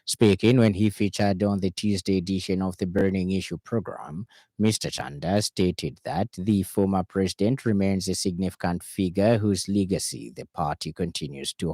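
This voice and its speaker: Deep, mellow Zambian male newsreader. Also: no echo. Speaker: radio news reader. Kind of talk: formal scripted news bulletin reading.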